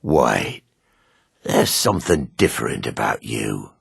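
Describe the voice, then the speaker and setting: A weathered dark elf fighter, with an extremely deep voice full of gravel. He is grim and measured, with menace in a lively, moving intonation. It is fantasy game dialogue, recorded dry and close. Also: no echo, exaggerated voice. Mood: sinister. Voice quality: gravelly, husky. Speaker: video game voice actor. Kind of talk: fantasy game character lines.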